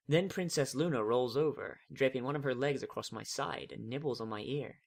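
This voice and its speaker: A man's voice: mid-range voice, a bit bright. A man giving a dramatic first-person reading of a fan fiction story.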